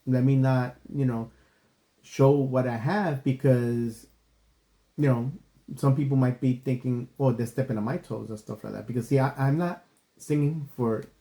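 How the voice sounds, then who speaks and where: A man's voice: medium pitch, fairly even intonation, normal speed, dark tone, reverberant. A man co-hosting a podcast in casual, earnest chat. He rambles, circles back and fills with "you know" and "stuff like that.". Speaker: podcast co-host. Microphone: desk microphone.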